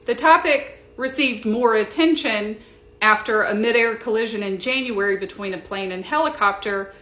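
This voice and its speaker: Female presenter, American, mid-range pitch, measured informative explainer read, recorded in a reverberant room. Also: fairly bright tone. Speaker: presenter of an aviation discussion. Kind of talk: explanatory scripted commentary to viewers.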